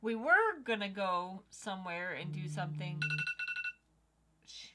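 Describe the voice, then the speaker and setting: A woman's voice: mid-range voice, very animated, measured pace, very noisy. A young woman co-hosting a home livestream, reading chat messages aloud and chatting casually with frequent giggles. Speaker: co-host of a livestream.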